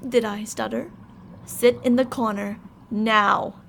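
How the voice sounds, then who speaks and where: A woman's voice: on the high side, fairly even intonation, takes it slowly, vocal fry, a bit bright, slight background noise, exaggerated voice. A woman playing a controlling mad scientist in an ASMR audio drama, sugary and polite but ready to turn stern and commanding. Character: controlling female mad scientist, sweet-talking, fretful captor.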